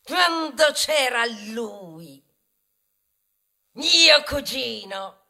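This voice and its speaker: High, theatrical, self-important plastic bag. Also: female voice, animated, drawn-out words, pushed and bright, heavily acted. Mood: nostalgic, exalted. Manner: declamatory, swinging from whispers to cries. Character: vain, grandiose biodegradable plastic bag, tragic and nostalgic. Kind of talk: theatrical stage monologue.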